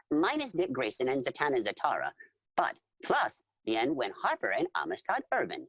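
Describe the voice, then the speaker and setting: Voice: medium pitch, fairly bright tone, dry recording, heavily acted. A performer voicing an earnest insectoid alien in an audio drama, speaking formally and literally in the third person. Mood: officious, eager. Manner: formal, literal, repeats full names, refers to self by name. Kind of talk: audio drama character acting and narration.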